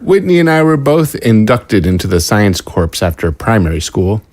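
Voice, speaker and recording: A man's voice: on the low side, normal speed, darkish tone, lightly acted. A man playing a skeptical scientist in an audio drama, dry, formal and probing.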